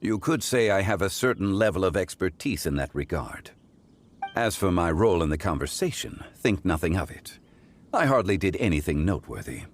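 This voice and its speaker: A man playing a composed, wise gentleman in a video game, speaking with formal, measured eloquence. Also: deep voice, sing-song, background noise. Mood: calm. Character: composed, courteous, scholarly gentleman of old-world wisdom.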